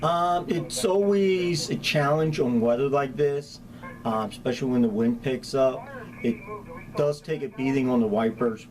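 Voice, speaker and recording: A man's voice: medium pitch, rough recording. A middle-aged man with a Spanish accent giving plain-spoken, practical answers in an informal interview as an emergency official. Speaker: county hazardous materials chief being interviewed. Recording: clear steady background ambient noise.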